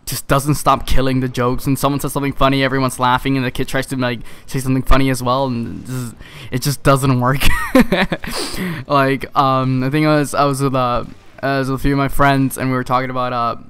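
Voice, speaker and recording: Teen male YouTuber, casual rambling storyteller, smooth clear voice, mid pitch, exasperated asides. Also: fairly bright tone. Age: teenager. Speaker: video game YouTuber.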